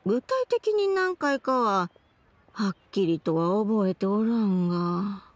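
A woman's voice: medium pitch, sing-song, slow, no echo. A woman voicing a forgetful, dreamy old grandmother in a Japanese fantasy game, rambling in old-fashioned elderly phrasing. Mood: wistful, rambling. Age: older. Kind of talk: Japanese anime game voice acting.

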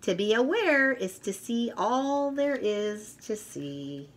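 Slow, animated woman reading to children. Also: a little high. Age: middle-aged. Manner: expressive, deliberate, sing-song, child-directed delivery. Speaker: children's storyteller and teacher. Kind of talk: picture book read-aloud for young children. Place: furnished room at home, indoors.

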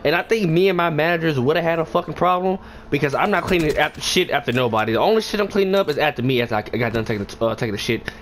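A man's voice: fairly high pitch, lively intonation, racing, few pauses, a bit bright. A young man telling a casual, rambling story for a gaming video, swearing often in slangy speech and getting emphatic when indignant. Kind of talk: casual storytime over gameplay. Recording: clear background noise and ambience.

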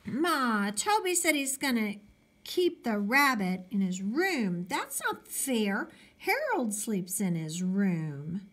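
A woman's voice: medium pitch, sing-song, slow, a bit bright, full character voice. A woman voicing a bossy older boy in a storybook, complaining and mocking. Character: bossy, taunting older boy, the big brother.

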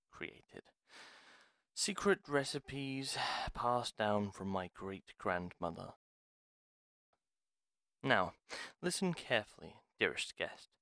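A man playing a gruff, wary retired pharmacist in a fantasy audio roleplay, speaking in a formal, deliberate, theatrical way. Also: lowish voice, a bit bright, dry recording, clean studio sound, exaggerated voice. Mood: calm, courteous, then solemn, reminiscing. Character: gruff, reluctant retired male pharmacist, courteous but wary. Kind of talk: dramatic audio roleplay monologue.

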